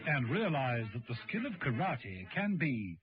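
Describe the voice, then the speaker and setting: A man narrating a spy adventure radio drama in a measured, dramatic storytelling style. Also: mid-range voice, big pitch swings. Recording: dull old radio recording, music.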